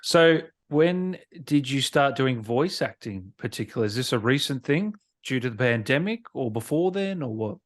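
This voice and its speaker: A man's voice: mid-range voice, lively intonation, moderate pace, hardly any pauses. A man interviewing a guest casually as a show host, informal and agreeable, with encouraging interjections.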